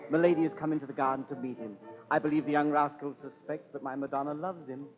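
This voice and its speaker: A man's voice: fairly high pitch, steady tone, fast talker, over music, natural voice. A man playing a world-weary court jester, narrating in a wry, confiding way in 1930s radio drama style. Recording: dull, echoing 1930s radio recording.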